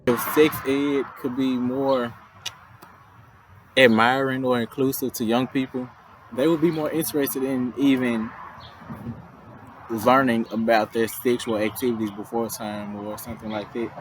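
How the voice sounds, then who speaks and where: A man, talking in a relaxed, conversational way about his story. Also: medium pitch, a little slow, slight background noise, poor audio. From Mississippi, US. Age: 20s. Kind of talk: interview testimony.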